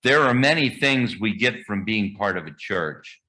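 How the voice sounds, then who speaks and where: A man leading a church membership welcome, reading the liturgy in a measured, formal way. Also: lowish voice, expressive, pushed and bright, low-quality recording. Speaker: membership committee leader. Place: church sanctuary.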